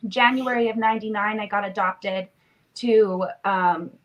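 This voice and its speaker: A woman's voice: mid-range voice, fairly even intonation, a little slow, rough recording. A woman in her twenties, sniffly from a cold, chatting casually as a podcast guest with lots of "like" fillers. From born in Romania, raised in Canada. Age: 20s. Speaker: interview guest.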